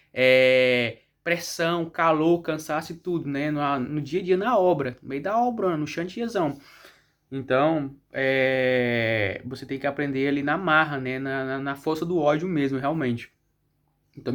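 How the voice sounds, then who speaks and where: A man's voice: mid-range voice, fairly bright tone. A man with a Brazilian Portuguese accent chatting casually to his viewers as a vlog host, often adding little tag questions. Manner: frequently checks in with tag questions.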